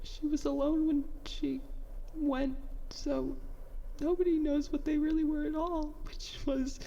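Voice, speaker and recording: An actress playing a bitter, self-doubting young petty officer in a halting, confessional monologue for a science fiction audio drama. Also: female voice, sits high, little pitch movement, gravelly, dark tone, big-room sound, muffled recording. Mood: sad, subdued. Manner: halting, conversational, self-deprecating, mildly profane.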